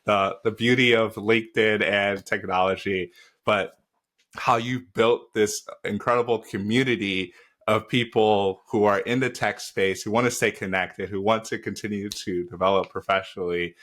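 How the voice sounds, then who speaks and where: Low-voiced male podcast host, affirming. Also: fairly even intonation, a bit bright. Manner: affirming, quick to say "wow" and "absolutely". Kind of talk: casual interview hosting. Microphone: video call.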